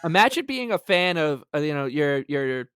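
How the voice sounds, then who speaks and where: A man's voice: on the high side, sing-song, normal speed, fairly bright tone, smooth, no echo, studio quality. A man co-hosting a sports podcast, talking casually and reading aloud with wry, sarcastic emphasis. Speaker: podcast co-host.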